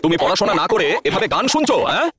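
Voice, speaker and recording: A man's voice: high-pitched, big pitch swings, very fast. A man playing a gullible, blustering, stammering father in a comedy cartoon. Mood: exasperated. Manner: stammering, repeats his words. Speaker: cartoon voice actor. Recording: loud music bed.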